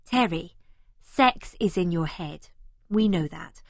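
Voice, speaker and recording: A woman's voice: fairly low pitch, expressive, slow, vocal fry, no echo. A woman reading first-person scripted narration for an audiobook. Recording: remastered audiobook, close and dry.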